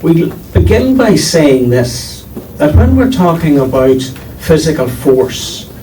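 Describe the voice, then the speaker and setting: Very slow, mellow-voiced lecturer. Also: male voice, a little high, big pitch swings. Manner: slow, deliberate, emphatic repetition. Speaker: panel speaker. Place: lecture room before an audience. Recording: distant mic, faint noise.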